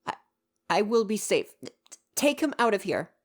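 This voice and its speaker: A woman's voice: mid-range voice, very animated, moderate pace. A woman playing a devoted royal butler in an ASMR roleplay, speaking in a formal, deferential and precise way with parental care. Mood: alarmed, urgent.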